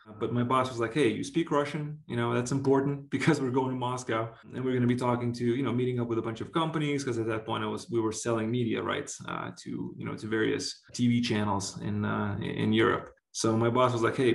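A man's voice: mid-range voice, creaky. A man from Lithuania giving casual, earnest interview answers as a guest, with a conversational and emphatic way of talking. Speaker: interview guest, basketball executive. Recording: roomy, echoey sound.

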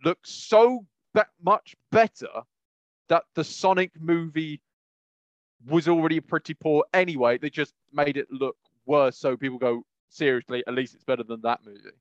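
A man's voice: fairly high pitch, moderate pace, dry recording, lo-fi sound. A man chatting casually as a podcast co-host, making his points with emphatic repetition and acted-out reactions. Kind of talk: casual chat.

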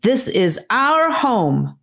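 Evil she-snake for a fantasy audiobook, played by a woman: high, sneering, defiant, drawn-out delivery. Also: sing-song, vocal fry, fairly bright tone, full character voice. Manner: high-pitched, mocking taunts. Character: evil mocking female cave snake, taunting and defiant.

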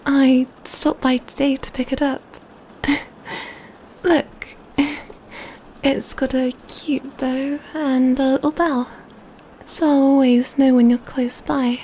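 Female performer as gentle, affectionate vampire lover for ASMR roleplay; mellow, hushed, high-pitched delivery, faint background noise. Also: poor audio. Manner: tender, slow, hushed, softly giggling delivery. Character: gentle, doting female vampire pampering her adopted catgirl lover. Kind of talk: soft-spoken ASMR roleplay.

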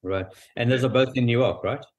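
A man's voice: medium pitch, dull audio. South African man hosting an interview. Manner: brisk, frequent short affirmations. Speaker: podcast host. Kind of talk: interview questions.

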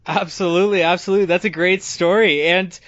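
A man's voice: high voice, fairly even intonation, fast, a bit bright, dry recording, muffled recording. A man hosting a travel podcast, reading a scripted intro and then asking interview questions with upbeat energy. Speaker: podcast host.

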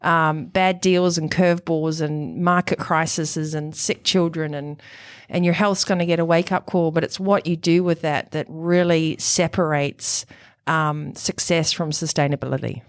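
A middle-aged woman with a New Zealand accent, a coach answering interview questions in an energetic, upbeat way. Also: low voice, unhurried, no echo, clean studio sound. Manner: energetic, upbeat, full of catchphrases. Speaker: guest, performance coach and author. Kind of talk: enthusiastic interview talk.

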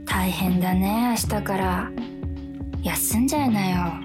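A woman's voice: medium pitch, measured pace, over music, lightly acted. A woman playing a cute, seductive demon of May blues in a radio drama, sweetly and languidly coaxing someone to be lazy. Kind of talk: Japanese radio drama acting.

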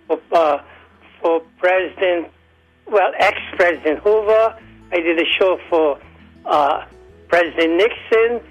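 Hoarse older man, husky and high. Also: faint music behind, rough recording. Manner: rambling, halting with many restarts. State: hoarse, weakened voice. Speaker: interview guest, entertainer. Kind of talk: casual interview chat.

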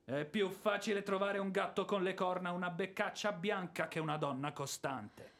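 An actor playing a blustering, indignant former army officer in a radio drama, declamatory and sarcastic. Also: male voice, high-pitched, normal speed, a bit bright. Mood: indignant ranting. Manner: declamatory, sarcastic, prone to bellowing. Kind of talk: theatrical Italian radio drama acting.

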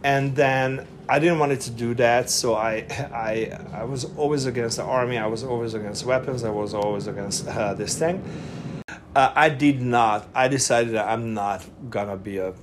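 A middle-aged Hungarian man speaks English with his accent. He talks as a podcast guest at a medium pitch, stopping and restarting often and padding his sentences with fillers. A faint noise floor sits under the recording. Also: moderate pace. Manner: hesitant, many fillers and restarts, laughs often. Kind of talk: casual interview conversation.

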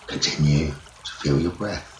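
A man leading a guided sleep meditation as a teacher, speaking in soothing, measured short phrases. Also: very deep voice. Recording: roomy echo, running water noise behind. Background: trickling stream water nature sounds.

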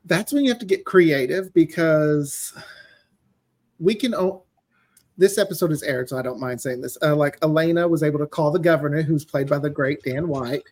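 Higher-voiced man telling stories in casual chat. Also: normal speed, a little mellow. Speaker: audio drama writer, podcast guest.

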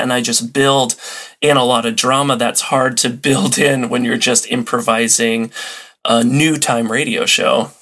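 A man chatting casually as a podcast guest, animated and given to acting out little quotes and sound effects. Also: medium pitch. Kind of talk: casual chat.

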